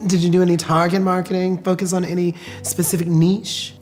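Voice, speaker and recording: A woman acting as a supportive drag queen customer in a scripted inspirational short drama, with a theatrical, affirming, deliberate delivery. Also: fairly low pitch, level tone, darkish tone, faint music behind, close to an everyday voice. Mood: advising.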